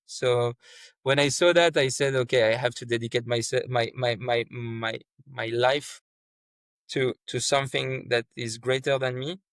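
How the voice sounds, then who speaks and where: A man's voice: medium pitch, fairly bright tone, dry recording. A man, a startup founder speaking earnestly as an interview guest, often repeating words and restarting his sentences. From France. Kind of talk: conversational interview.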